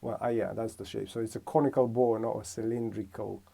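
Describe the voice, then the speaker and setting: A man's voice: fairly low pitch, fairly even intonation, brisk, creaky, mellow, slightly rough. A man from Romania giving a casual music lesson, thinking aloud and correcting himself as he explains. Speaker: music teacher.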